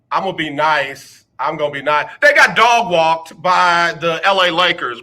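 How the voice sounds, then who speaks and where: A man's voice: on the high side, bright, forceful tone. A man hosting a casual sports talk radio show, teasing listeners with rhetorical questions. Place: radio studio.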